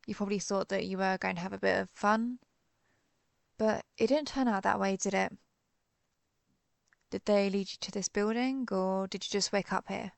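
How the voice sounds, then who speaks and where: A centuries-old vampire huntress, acted by a woman, questions the listener in a roleplay audio drama. Her mid-pitched voice has a strong creak and sounds dry, with no room around it. She is deliberate, cool and sardonic, with menace under the words. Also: steady tone, dry recording, lightly acted. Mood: knowing, sardonic. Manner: deliberate, interrogating, dryly threatening.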